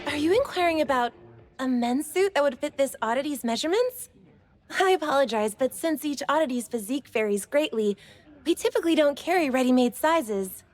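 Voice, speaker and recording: A woman's voice: high-pitched, animated, brisk, faint music behind. A woman playing a polite, professional boutique shop clerk in an anime game, with formal customer-service courtesy. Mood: politely apologetic. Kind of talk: anime game dialogue acting.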